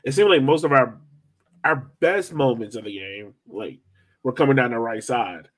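Male American podcast co-host, mid-pitched, for relaxed conversational soccer match breakdowns. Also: moderate pace. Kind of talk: casual soccer match analysis chat.

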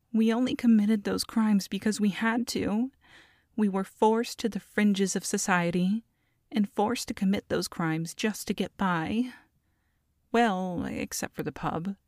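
A woman's voice: medium pitch, measured pace, a little mellow, dry recording, clean studio sound. A woman voicing a feisty, scheming goblin mother in an audio roleplay, sassy and haughty as she talks to a captive. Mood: indignant. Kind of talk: ASMR audio roleplay monologue.

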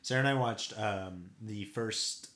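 A man's voice: fairly low pitch, a little slow, fairly bright tone. Stuffy-nosed laid-back man in a roomy space. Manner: laid-back, swears and laughs often. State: stuffy nose. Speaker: podcast co-host. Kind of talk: casual chat.

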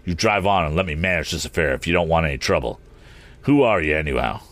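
A man's voice: a bass voice, normal speed, a bit bright, no echo. A man voicing a sneering, vindictive villain in a storybook, a hot-tempered and menacing bully. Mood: angry. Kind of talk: audiobook character acting.

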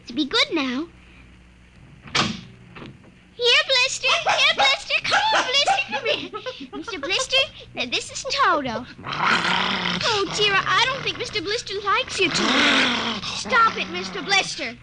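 An actress playing an excitable, moody young girl in an old radio drama, childlike and pleading. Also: very high-pitched, slow pace, long pauses, full character voice. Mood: excited. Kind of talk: 1950s radio drama acting. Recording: noisy old radio recording.

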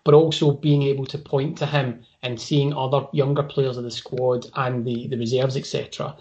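A man talks through a long point on a football podcast, working it out as he goes and repeating words. His pitch barely moves. The sound is slightly dull and lo-fi. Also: little pitch movement, normal speed, darkish tone. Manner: long analytical turns, repeats words as he thinks. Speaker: podcast guest. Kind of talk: casual football podcast chat.

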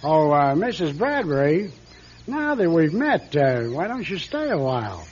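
An actor playing a sardonic, unflappable horror writer in a radio drama, wry and needling. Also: male voice, on the high side, big pitch swings, unhurried, slightly rough, heavily acted. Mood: coolly defiant. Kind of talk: classic radio drama acting. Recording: dull lo-fi radio recording.

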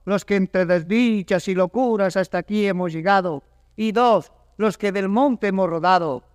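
Casting: male actor as high-voiced clownish servant, cowardly and complaining, classical stage verse, reverberant recording. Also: normal speed, clean studio sound, lightly acted. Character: comic cowardly manservant, chatty and grumbling. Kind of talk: classical Spanish verse comedy, theatrical.

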